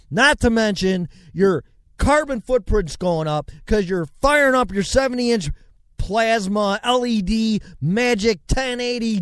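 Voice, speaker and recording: A man's voice: sits high, no echo. A man hosting a show, ranting sarcastically and rambling through news items, swinging between mutters and outbursts. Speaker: show host. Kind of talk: ranting commentary and news reading.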